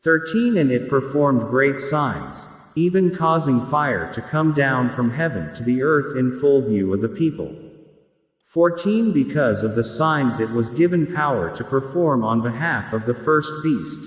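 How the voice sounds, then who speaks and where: Male text-to-speech scripture reader, mid-pitched, formal and measured, long echoing reverb. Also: animated. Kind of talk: scripted Bible reading. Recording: echoey hall with long reverb.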